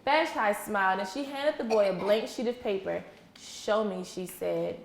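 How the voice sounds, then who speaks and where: A woman's voice: medium pitch, normal speed, gravelly. A woman teacher doing an interactive read-aloud for young children, patient and encouraging, prompting them with questions and repeating answers. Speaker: preschool teacher. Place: indoor classroom with children. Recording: echoey room with faint background noise.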